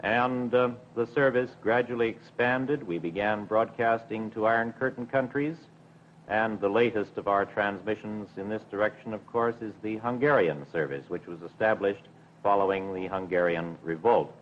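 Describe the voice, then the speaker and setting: Guy middle aged, formal and careful official in an old tv interview, lowish voice, faint hum behind. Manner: measured, careful, formal, hesitant. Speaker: broadcasting service official being interviewed. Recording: dull 1950s television recording with hum.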